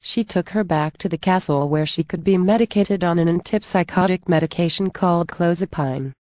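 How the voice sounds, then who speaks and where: A woman's voice: fairly low pitch, moderate pace, darkish tone, no room sound. A woman reading scripted narration for a storybook tale.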